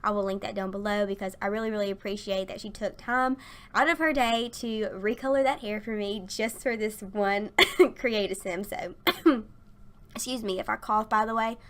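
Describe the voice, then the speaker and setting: A young woman chatting casually through a game voice-over, her voice a little raspy from a sinus infection. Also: mid-range voice, fairly even intonation, moderate pace, a bit bright. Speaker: gaming YouTuber.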